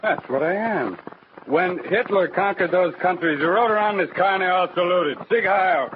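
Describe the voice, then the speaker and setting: Guy playing cocky american mobster in old radio show, bossy braggart, kinda high voice, lots of noise. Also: moderate pace, lightly acted. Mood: grandiose. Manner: bullying, boastful, slangy. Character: cocky, drunken, volatile gangster boasting of power. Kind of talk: 1940s radio crime drama acting. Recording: muffled, very noisy old radio recording.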